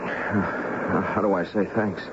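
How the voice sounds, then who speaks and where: An actor playing an earnest, adventurous young oil heir with intense, expressive 1970s radio drama delivery. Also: male voice, sits low, slow pace, heavy background noise. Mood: friendly, curious. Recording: dull 1970s radio recording with music.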